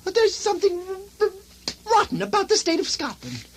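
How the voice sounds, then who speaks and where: Excitable stuttering lord, radio comedy, extremely high voice, faint noise of an old recording. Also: female voice, full character voice. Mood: agitated. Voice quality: heavy comic stutter. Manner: hysterical, overwrought, halting. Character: panicky, stuttering, excitable Scottish nobleman in a comedy. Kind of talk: comic radio play acting.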